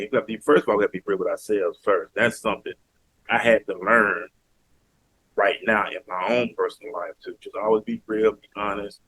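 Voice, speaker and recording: A man's voice: fairly low pitch, a bit bright, husky, no echo, poor audio. A man from Alabama with a Southern accent, talking earnestly and emphatically as a podcast guest. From Mobile, Alabama, US. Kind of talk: casual interview talk.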